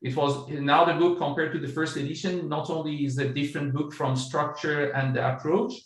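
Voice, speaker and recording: A middle-aged man from Iran, an author and business coach, answering interview questions over a video call in a measured, explanatory way with many fillers. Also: a little high, steady tone, reverberant, recorded from a distance, rough recording.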